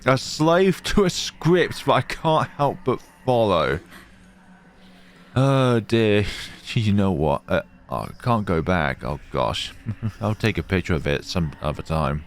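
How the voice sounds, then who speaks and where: A man's voice: lowish voice, expressive, slow pace. A man hosting a let's play, reading game text aloud dramatically and reacting. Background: game music.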